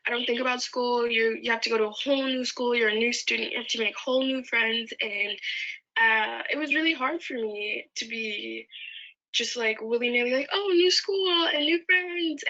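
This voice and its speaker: A woman's voice: on the high side, normal speed, sharp tone, muffled recording. A young woman, a former foster youth, speaks casually and hesitantly in a recorded testimony. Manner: casual and hesitant, with many likes and ums. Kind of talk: personal testimony in a recorded video.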